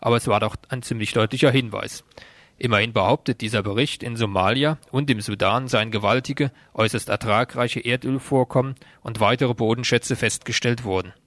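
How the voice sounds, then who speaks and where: Male reporter, mid-pitched, narrating a prepared news feature in a dry acoustic. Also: fairly even intonation, brisk, fairly bright tone, dry recording. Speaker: radio reporter.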